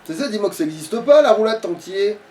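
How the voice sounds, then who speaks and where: High-pitched man, distant from the mic. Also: animated, fast, a little mellow. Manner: casual, swearing, talking to the game. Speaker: let's play player. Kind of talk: casual gaming chat. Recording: distant from mic, background noise.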